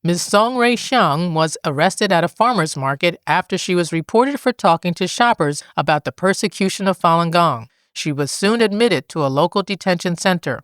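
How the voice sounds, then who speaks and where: A woman reading scripted news in a measured, formal manner. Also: lowish voice, lively intonation, dry recording, studio quality. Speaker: news reader.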